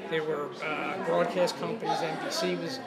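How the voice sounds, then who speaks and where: A man's voice: on the high side, level tone, normal speed, creaky. A man giving conversational interview answers as a guest, speaking as a theater director. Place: public venue on location, crowd behind. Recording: loud crowd chatter on location.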